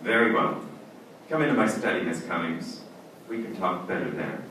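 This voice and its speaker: A stern, unbending male judge played by an actor speaks in formal radio-drama style. The recording is heavily echoing and distant, with clear noise throughout. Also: sits low, moderate pace, close to an everyday voice. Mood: formal, composed. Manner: formal, declamatory. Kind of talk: old-time radio drama acting and narration. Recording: very echoey, distant, noisy stage recording.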